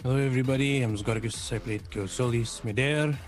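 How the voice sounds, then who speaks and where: A man player giving an upbeat shout-out, reeling off his channels and plans. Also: mid-range voice, fast, soft background music. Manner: upbeat, reels off plugs. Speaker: player and streamer. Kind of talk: casual stream shout-out.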